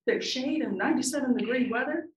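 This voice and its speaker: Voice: high-pitched, fast, distant mic, lo-fi sound. Young adult telling a wry story, off-mic. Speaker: student panelist. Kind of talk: casual storytelling. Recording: roomy, from off-mic.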